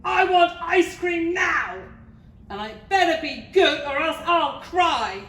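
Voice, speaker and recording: A woman voicing a spoiled, shouty little princess from a storybook, with a shrill, demanding, whining delivery. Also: expressive, takes it slowly. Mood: demanding, disgusted. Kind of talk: children's storybook character voice. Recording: roomy, distant mic, faint noise.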